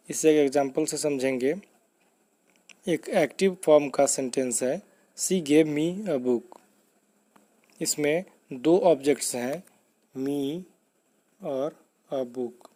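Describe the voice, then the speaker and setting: A man teaching English grammar in a deliberate, step-by-step, instructive manner, as in a scripted lesson. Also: on the high side, dark tone.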